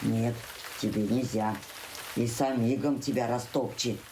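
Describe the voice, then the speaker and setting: A woman voicing a gruff, steady male ant who grumbles in a low, unhurried voice. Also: heavy background noise. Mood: grumbling.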